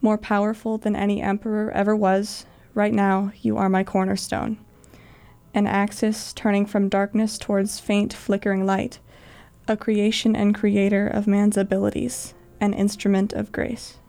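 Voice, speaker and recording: Young woman, late teens, for a poetry reading: even intonation, noticeable vocal fry. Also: medium pitch, normal speed. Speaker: student writer. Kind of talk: reading own literary piece.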